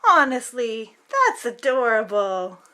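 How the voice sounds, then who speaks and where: A woman's voice: fairly high pitch, big pitch swings, slow pace, bright, forceful tone. A woman reading a children's picture book aloud as host, theatrically, drawing out words for emphasis.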